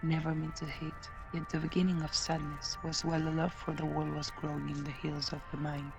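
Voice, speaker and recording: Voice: medium pitch, completely flat delivery, fairly quick, vocal fry, low-quality recording. A person reading spoken-word poetry as a recited piece. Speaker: poetry reader. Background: music.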